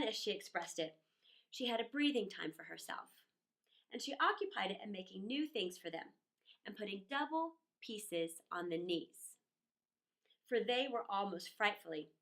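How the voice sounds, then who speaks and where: A woman reading a children's story aloud in an expressive, playful, theatrical manner with chatty asides. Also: medium pitch, unhurried.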